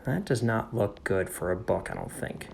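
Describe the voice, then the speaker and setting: A man's voice: deep voice, expressive, moderate pace, creaky, slight background noise. A middle-aged man, reading aloud to children as a teacher, with playful, jokey casual asides.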